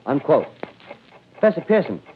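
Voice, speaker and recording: High-voiced 1930s radio newsman. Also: sing-song, normal speed. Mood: formal. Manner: formal announcing with hesitant, groping asides. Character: earnest, excitable male radio news reporter on location. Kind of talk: 1930s radio drama acting. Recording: muffled, noisy 1930s radio recording.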